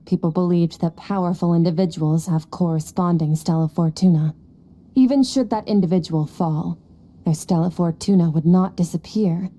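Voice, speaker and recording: A woman's voice: fairly low pitch, warm tone, lightly acted. A woman playing a cold, aloof and powerful young female warrior in a video game, curt, detached and condescending.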